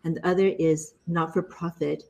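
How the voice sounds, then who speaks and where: A woman's voice: low voice, little pitch movement, normal speed, gravelly, a little mellow, lo-fi sound. A middle-aged woman from the United States introducing herself on a panel in a confident, polished way. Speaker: panelist, entrepreneur.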